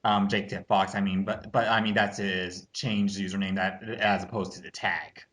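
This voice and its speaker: A man hosting a casual rebuttal commentary, speaking in a halting, dismissive and slightly snide way. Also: low voice, flat delivery, a bit bright.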